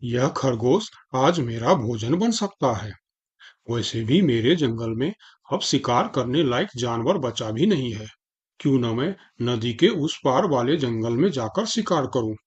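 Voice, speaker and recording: Sly cartoon tiger man acting, husky voice, coaxing and calculating, slightly muffled recording. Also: medium pitch, animated, a little slow. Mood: scheming. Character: sly, scheming male tiger, smooth-talking predator. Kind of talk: Hindi animal fable cartoon dialogue.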